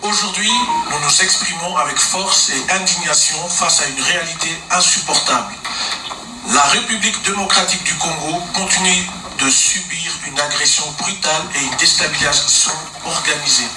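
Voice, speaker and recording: A man's voice: fairly high pitch, normal speed. A Dutch-speaking man with a Flemish accent, reading a prepared political statement with indignation. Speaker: speaker at a press conference. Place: meeting room in Brussels. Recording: echoey room from far off, loud noise.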